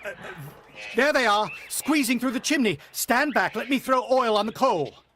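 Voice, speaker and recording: A man's voice: very high-pitched, lively intonation, normal speed, sharp tone, a little hiss or hum, full character voice. A man acting a desperate, haunted husband in a radio play, telling of a siege in grave, clipped, intense style. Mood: urgent, frantic. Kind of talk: dramatic radio play narration and dialogue.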